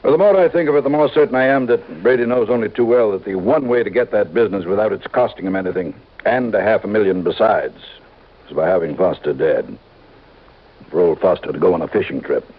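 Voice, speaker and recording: A fussy insurance executive, stingy and anxious, in the style of old radio drama. His lowish voice is husky, his manner prim and insistent, and the recording is thin and tinny like a vintage broadcast. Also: fast. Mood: agitated, insistent. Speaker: supporting actor in a radio drama. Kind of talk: mid-century American radio acting.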